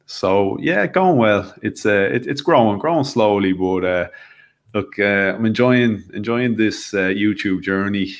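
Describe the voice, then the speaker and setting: Deep-voiced Irish man, casual and chatty. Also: measured pace. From Ireland. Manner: self-deprecating, chatty, frequent restarts. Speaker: podcast guest. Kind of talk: casual chat.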